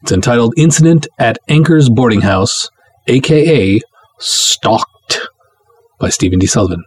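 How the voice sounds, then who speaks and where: A man's voice: mid-range voice, measured pace, a little hiss or hum. A man hosting a podcast, talking directly to his listeners in a monologue. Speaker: podcast host, writer and director. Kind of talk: podcast monologue, motivational announcements.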